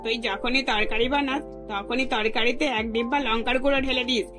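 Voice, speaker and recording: A woman's voice: a little high, steady tone, a little fast, over music, lightly acted. A woman voicing a middle-aged mother of a bride in a cartoon, earnest and given to advice. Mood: admonishing. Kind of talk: scripted cartoon dialogue.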